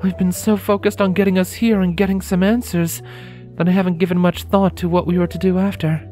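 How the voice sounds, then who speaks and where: A woman voicing a weary, caring adult mare pony in a dramatised audiobook, speaking in a measured, earnest way. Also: fairly low pitch, little pitch movement, dark tone, soft background music, natural voice. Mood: pensive. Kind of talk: dramatised audiobook character acting.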